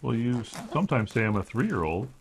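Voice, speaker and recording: A man co-hosting a casual unboxing chat inside a home, enthusiastic and quick to exclaim. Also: mid-range voice, very animated, a little hiss or hum. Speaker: product review co-host. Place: indoors at a home.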